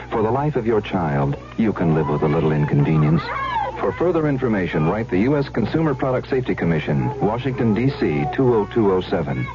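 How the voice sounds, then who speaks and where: A man reading an authoritative scripted public service announcement on the radio. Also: deep voice. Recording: dull noisy old radio recording.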